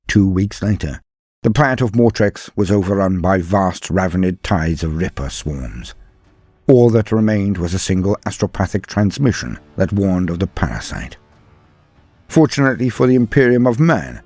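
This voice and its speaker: A man's voice: sits low, darkish tone, slightly rough, dry recording. A British man hosting a hobby channel, narrating and commenting in a theatrical manner with florid, old-fashioned turns of phrase. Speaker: YouTube host of a tabletop wargame lore channel. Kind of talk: dramatic lore narration and opinion commentary.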